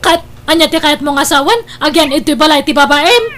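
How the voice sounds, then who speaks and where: High-voiced wronged wife, radio drama. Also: female voice, brisk, a bit bright. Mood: indignant, hurt. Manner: emotional, dramatic, indignant. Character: wronged wife, hurt, accusing, tearful. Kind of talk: Ilocano radio drama acting.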